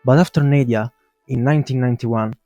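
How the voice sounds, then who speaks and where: A man's voice: medium pitch, fairly even intonation, a little fast, mellow, dull audio. A man narrating a scripted video essay in a brash, opinionated way, swearing casually and addressing viewers directly. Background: music.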